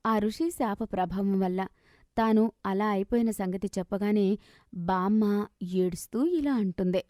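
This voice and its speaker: A woman narrating a scripted moral story as an expressive, dramatic storyteller. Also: medium pitch, measured pace, no room sound, studio quality.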